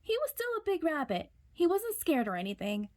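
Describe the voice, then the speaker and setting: Animated, high-voiced woman reading a storybook. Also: brisk. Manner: dramatic, suspenseful, with hushed whispered words. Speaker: children's storyteller. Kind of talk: expressive picture-book read-aloud.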